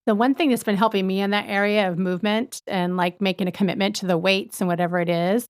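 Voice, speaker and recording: A woman in her fifties co-hosting a podcast, talking things through thoughtfully and often pausing to search for words. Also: medium pitch, steady tone, clean tone, no echo, studio quality. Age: 50s. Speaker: podcast co-host. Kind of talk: reflective casual chat.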